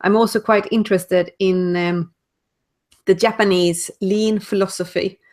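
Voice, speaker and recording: A woman with a Swedish accent, a guest answering interview questions over a video call, pausing to search for words. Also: medium pitch, fairly even intonation, darkish tone. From Sweden. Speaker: guest, speaker and author.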